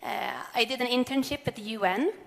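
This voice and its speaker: A woman, giving a measured, earnest prepared keynote speech on stage. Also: a little high, creaky, a bit bright, a little hiss or hum. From Sweden. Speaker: corporate sustainability speaker. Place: large event hall on stage. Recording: long hall reverb.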